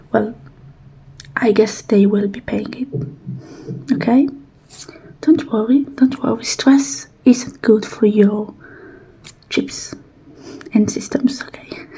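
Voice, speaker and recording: A woman whispering softly and breathily in an ASMR roleplay as a technician, with a gentle, reassuring and unhurried manner. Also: on the high side, husky, poor audio.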